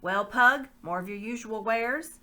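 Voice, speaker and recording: A woman's voice: fairly high pitch, measured pace, sharp tone, voice pushed up. A woman voicing a stern, grim bearded lord who speaks curtly and commandingly.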